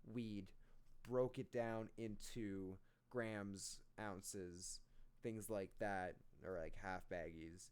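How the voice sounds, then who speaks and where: A man's voice: fairly low pitch, steady tone, drawn-out words, clear, resonant tone. A man hosting a rap reaction, casually explaining lyrics line by line with lots of fillers. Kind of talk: casual lyric breakdown commentary.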